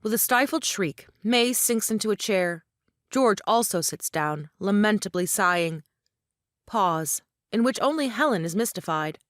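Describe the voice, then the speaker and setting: A woman's voice: mid-range voice, lively intonation, unhurried, a bit bright, no room sound, studio quality. A woman reading stage directions as scripted narration for an audio play.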